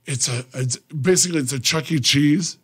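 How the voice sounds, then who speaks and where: A man's voice: on the high side, moderate pace, husky. A man hosting a radio interview, telling stories enthusiastically in a small studio on a desk mic. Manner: talkative, storytelling, enthusiastic. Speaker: radio show host. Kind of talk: casual interview chat.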